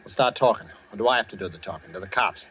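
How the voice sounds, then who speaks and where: A man's voice: on the low side, rapid-fire, a bit bright, close to an everyday voice. An actor playing a suspicious, hard-nosed young American man, blunt and insistent, in 1940s radio drama style. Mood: accusing. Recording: dull old radio recording, faint noise.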